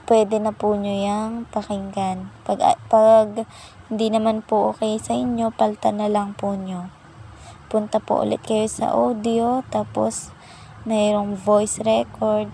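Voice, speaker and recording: A woman narrates an app tutorial, guiding viewers patiently and politely through each step. Her voice is soft and mellow, and her delivery is slow and even, with little rise or fall in pitch. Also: gravelly, slight background noise, low-quality recording. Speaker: tutorial channel host.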